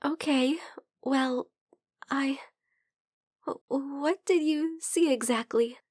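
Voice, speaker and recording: Woman as gentle sick vampire friend for ASMR roleplay: high, soft voice, slow delivery, teasing yet hesitant. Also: dry recording, studio quality. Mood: hesitant, confessing.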